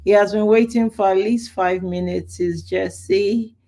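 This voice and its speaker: A woman's voice: mid-range voice, steady tone, unhurried, warm tone. A woman with a Nigerian accent hosting a live talk show and interviewing guests in a casual, chatty way with fillers and restarts.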